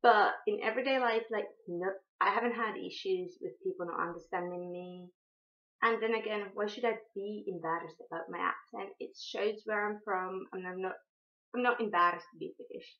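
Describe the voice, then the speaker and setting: A woman's voice: mid-range voice, moderate pace. A young woman from Finland with a Finnish accent, speaking unscripted to camera, hesitant, with many ums and restarts. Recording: dull lo-fi sound.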